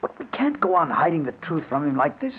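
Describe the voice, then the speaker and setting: A man's voice: fairly high pitch, sing-song, a little fast. An actor playing an urgent, persuasive man plotting in secret, earnest and insistent in old-time radio drama style. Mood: urgent, pleading. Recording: tinny old radio, faint hiss.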